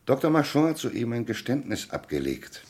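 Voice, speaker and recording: A man's voice: medium pitch, big pitch swings, a little fast, darkish tone, slightly rough. An actor playing a shrewd, insistent police commissioner in a radio crime drama, methodical and declamatory as he interrogates. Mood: probing, firm.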